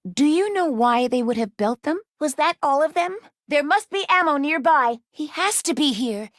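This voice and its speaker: A woman's voice: high-pitched, animated, normal speed, dry recording, studio quality. A woman playing a small, studious female robot in a video game, earnest and polite, speaking in precise, formal sentences without contractions. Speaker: video game companion character.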